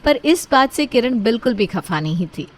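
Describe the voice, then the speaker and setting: High-voiced woman storyteller. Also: big pitch swings, moderate pace. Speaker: story narrator. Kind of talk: scripted storytelling narration.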